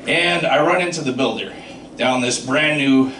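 A man's voice: medium pitch, moderate pace, fairly bright tone, slight background noise. A middle-aged man doing a stand-up comedy set about trucking, telling stories with sound effects and asides to the crowd. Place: small comedy club stage. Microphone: handheld mic, filmed from the audience. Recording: echoey club from far off. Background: audience laughter.

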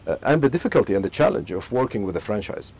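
Fast-talking low-voiced businessman. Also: gravelly, slightly rough. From Saudi Arabia. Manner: fast, animated storytelling full of fillers and restarts. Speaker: company CEO, interview guest. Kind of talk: casual interview talk. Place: outdoor garden beside a pool. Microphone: lapel mic.

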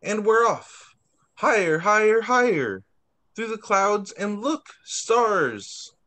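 A man teacher reading picture books aloud to young children on a video call, expressive, drawing out words and adding child-friendly asides. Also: high-pitched, slow pace. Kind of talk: animated read-aloud story time for young children.

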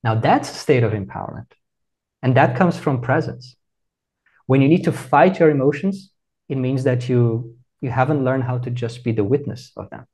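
Man, 30s, meditation teacher, measured, reflective, video call, plain room. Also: medium pitch, darkish tone, breathy edge, low-quality recording. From Brazil. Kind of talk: calm explanatory interview answers.

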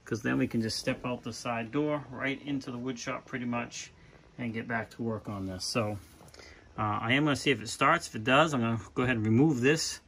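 A man's voice: medium pitch, steady tone, a little fast, a bit bright, slightly rough. A middle-aged man talking casually to a handheld camera inside a school bus, searching for words and thinking aloud as he works. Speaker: vlogger converting a school bus. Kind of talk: casual vlog walkthrough. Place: inside a parked school bus, then driving it. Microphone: handheld phone camera. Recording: faint engine noise in a bus. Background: faint bus engine and outdoor ambience.

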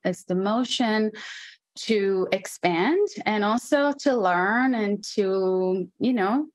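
A woman's voice: mid-range voice, no echo. Israeli-accented woman on a dry video call. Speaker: guest musician. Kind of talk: conversational interview storytelling. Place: home room at a desk. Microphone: earphone headset on a video call.

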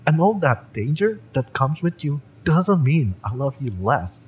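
A man playing a fiery, devoted mercenary in love, tough yet tender, in a romantic audio roleplay, with emphatic, halting delivery. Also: a little high, big pitch swings, slight background noise, voice pushed up, low-quality recording. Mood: impassioned, pleading. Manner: impassioned, emphatic, halting delivery with breathy drops.

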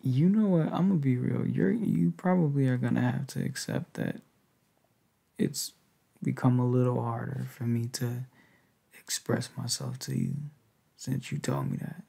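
A young man speaks softly and hesitantly in an intimate, breathy ASMR boyfriend roleplay, tender and low-key. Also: sing-song, normal speed. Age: young adult. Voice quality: soft, breathy, gravelly. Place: indoors, lying on a bed in a bedroom.

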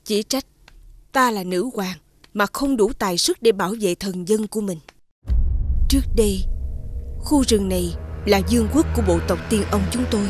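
A woman playing a noble, protective fairy queen in an animated fairy tale, earnest and dignified. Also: mid-range voice, normal speed, pauses often, husky, faint music behind, close to an everyday voice. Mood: sorrowful.

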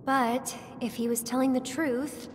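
A young woman playing a gentle, playful and hopeful heroine in a video game. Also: high voice, fairly even intonation, unhurried, slight background noise. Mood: hopeful, reassuring. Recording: long reverb, game music behind.

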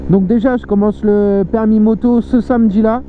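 Young dude motovlogging, high voice, slangy and rambly, muffled helmet mic w/ engine and wind noise. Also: male voice, level tone, normal speed. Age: young adult. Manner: slangy, hesitant, repeats words for emphasis. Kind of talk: casual vlog monologue while riding. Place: outdoors, riding a moped on country roads. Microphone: microphone inside a motorcycle helmet.

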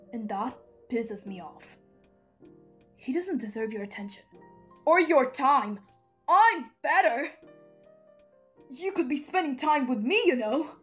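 Woman acting slow-talking brash, swaggering male boss. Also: fairly high pitch. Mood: jealous. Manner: swaggering, crude, emphatic. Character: brash, cocky, jealous male boss angel. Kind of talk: comic dub voice acting. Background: background music.

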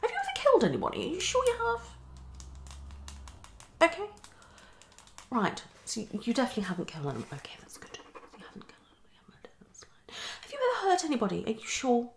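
A woman's voice: fairly high pitch, wildly swooping pitch, gravelly, a little hiss or hum, pitched above the performer's own voice, heavily acted. A young woman playing a hasty, tactless female psychologist in an ASMR roleplay, half whispering in a condescending, hesitant way. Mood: brisk, tactless. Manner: fast switching, hesitant repetitions, condescending. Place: small living room, indoors.

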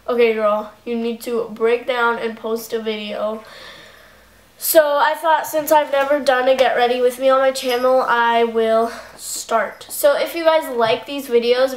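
A teenage girl with a stuffy, nasal voice chatting casually as she narrates her makeup routine. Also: fairly high pitch. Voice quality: nasal, congested. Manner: chatty, with fillers like "um" and "like". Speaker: beauty vlogger. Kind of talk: casual get-ready-with-me voice-over. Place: home living room. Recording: music playing behind the voice.

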